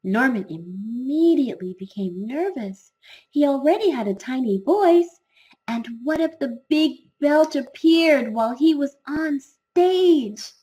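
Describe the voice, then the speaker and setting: A woman narrating a children's story from a script, expressive and deliberate, with dramatic emphasis. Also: sits high, low-quality recording.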